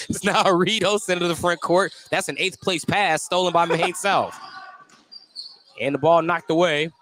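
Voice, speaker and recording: Guy doing play by play for hoops on radio, voice a bit high, chatty and jokey, noisy gym behind him. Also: steady tone, moderate pace. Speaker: play-by-play sports commentator. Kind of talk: live basketball radio commentary. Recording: clear background noise, live gym broadcast.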